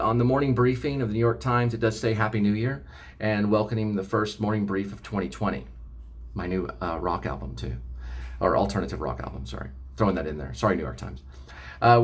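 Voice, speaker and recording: A man reading news aloud and chatting casually about it, in a halting, rambling way with stumbles over words. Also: on the low side. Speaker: amateur reader and host reading news articles. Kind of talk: casual talk mixed with read-aloud news.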